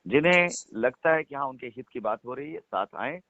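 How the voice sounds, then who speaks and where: A man's voice: mid-range voice, lively intonation, fairly quick, creaky, no echo. A man on a phone call, speaking for a company, making his points insistently and repeating them for emphasis. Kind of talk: persuasive phone conversation. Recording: tinny phone line.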